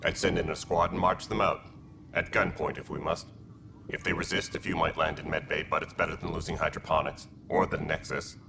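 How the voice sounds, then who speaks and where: A man playing a hard-nosed, blunt militia commander with a gravelly voice in a video game. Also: very deep voice, steady tone, brisk. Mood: stern. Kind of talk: video game cutscene acting. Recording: clear background ambience noise.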